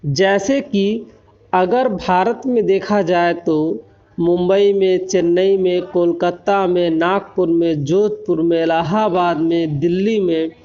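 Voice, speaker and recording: High-pitched Indian man lecturing, muffled recording. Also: mellow. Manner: frequent check-in tags while explaining. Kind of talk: explanatory technical lecture. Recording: dull lo-fi recording.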